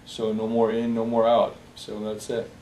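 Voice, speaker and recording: A young man from Bosnia in a personal interview, speaking haltingly with many fillers and restarts. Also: on the low side, completely flat delivery, darkish tone. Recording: roomy echo, distant from the mic, faint hiss.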